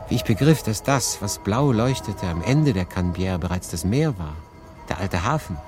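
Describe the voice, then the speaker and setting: Deep-voiced, world-weary refugee played by a man. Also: lively intonation, music in the background, natural voice. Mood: reflective, wistful. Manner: conversational, deliberate pauses, shifting between emphatic and hushed. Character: world-weary refugee man in 1940 France, confiding his story. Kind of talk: dramatic first-person monologue, literary audio drama.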